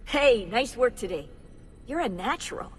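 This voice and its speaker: A woman's voice: a little high, lively intonation, moderate pace, natural voice. An actress playing a blunt, friendly, tech-savvy female assassin in a video game, talking casually with a teasing edge. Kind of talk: game cutscene dialogue. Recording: music under the dialogue. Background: music.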